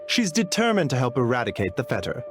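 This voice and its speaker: An actor playing a calm, gentle physician who speaks in a soft, measured way, in a video game. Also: male voice, medium pitch, music in the background, lightly acted.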